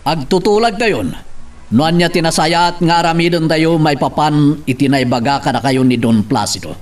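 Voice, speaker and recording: A man's voice: on the high side, moderate pace, distant mic, natural voice. A man playing an earnest farmers' leader addressing his comrades in a declamatory audio drama style. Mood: earnest, rallying. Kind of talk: radio-style Ilocano drama acting.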